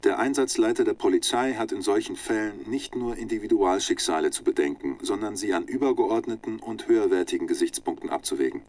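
Ein Schauspieler spielt in einem Hörspiel einen nüchternen, sachlich formulierenden Erzähler und Vernehmer. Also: male voice, lowish voice, a little fast, few pauses, a little mellow, a little hiss or hum, lightly acted. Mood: formal, official. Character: sober male narrator and formal police interrogator. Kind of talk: scripted radio drama narration.

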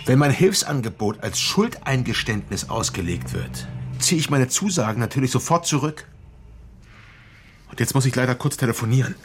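A male actor portrays a middle-aged politician in a naturalistic radio crime drama. He is controlled and patronising, with measured sarcasm at a medium pitch. Noise is plainly audible behind him. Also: expressive, close to an everyday voice. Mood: sardonic, controlled.

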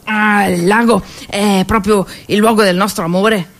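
A woman's voice: medium pitch, steady tone, unhurried, lightly acted. Actress playing a naive, chatty mountain peasant woman. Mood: dreamy, giddy. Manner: chatty, artless, self-mocking. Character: cheerful, naive, motherly mountain peasant woman, wartime supply carrier. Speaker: solo stage actress. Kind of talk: theatrical monologue.

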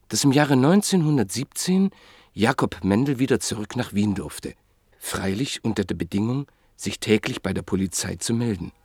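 A male radio-play narrator tells the story in a measured, solemn way. His voice is lowish and gravelly with a husky edge, and the dry studio sound has no room echo.

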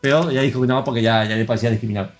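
A man's voice: medium pitch, steady tone, racing, faint music behind. A man with an Argentine accent hosting a casual gaming podcast, rambling through news and reading out listener comments. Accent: Argentine Spanish. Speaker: podcast host.

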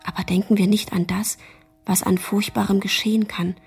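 Breathy, tender woman, faint music. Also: mid-range voice, flat delivery, moderate pace, a little mellow. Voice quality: breathy, whispery. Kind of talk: German radio drama acting.

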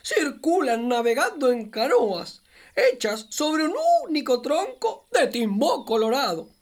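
A woman's voice: high voice, unhurried, heavily acted. A woman playing a friendly, wise capybara who patiently explains things to a child in children's radio theatre, with an Argentine accent. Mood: enthusiastic, explaining. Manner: patient, expressive explaining, storyteller-like. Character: friendly wise capybara guiding a little girl.

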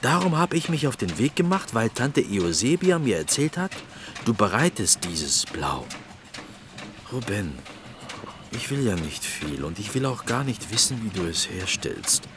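An actor playing an earnest, persistent village potter, pleading and insistent, in a German radio play with background noise. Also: male voice, on the low side, big pitch swings, unhurried.

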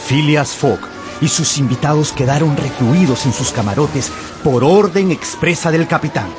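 A man's voice: fairly high pitch, moderate pace. A man narrating a dramatized story for radio in a theatrical storytelling manner. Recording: music behind the voice.

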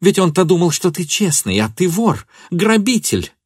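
A man voicing a furious, betrayed woman in a story, shouting bitter, venomous accusations. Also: high voice, very animated, moderate pace, breathy edge, no echo, clean studio sound, pitched above the performer's own voice, exaggerated voice.

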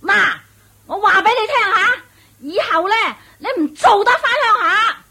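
A woman's voice: very high voice, animated, sharp tone, full character voice. A woman playing a shrewish, domineering and vengeful witch in a radio drama, nagging and taunting in Hong Kong Cantonese. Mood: demanding. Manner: nagging, taunting, drawn-out emphatic delivery. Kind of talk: theatrical radio drama acting.

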